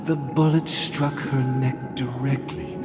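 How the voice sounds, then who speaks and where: Casting: male actor as solemn game dignitary, mid-pitched, formal and deliberate, in an echoing hall. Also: fairly even intonation, lightly acted. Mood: grave, consoling. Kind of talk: scripted video game dialogue. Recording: echoey hall reverb, music behind.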